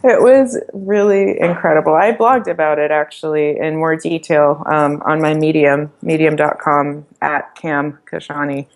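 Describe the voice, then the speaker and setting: A woman in a casual podcast interview, sharing her experiences and advice with emphatic, motivational energy. Her voice sits low and carries a gravelly edge. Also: fairly even intonation, unhurried, hardly any pauses. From Iran, raised in the US. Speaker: startup founder, interview guest.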